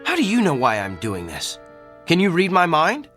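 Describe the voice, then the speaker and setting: An arrogant celebrity doctor in a radio play. His voice is high and forceful, with animated, self-important phrasing over background music. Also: normal speed. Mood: cocky, scornful. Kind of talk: theatrical radio drama acting.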